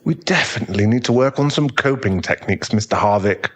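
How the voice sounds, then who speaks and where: A man playing a calm, frank professional therapist in an audio drama, speaking in a measured, formal, tactful way. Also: fairly low pitch. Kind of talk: dramatic audio roleplay acting.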